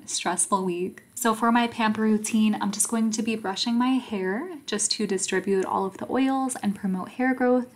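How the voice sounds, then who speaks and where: A woman in her twenties calmly narrating her routine as a voice-over for her vlog, explaining each step. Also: mid-range voice. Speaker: vlogger.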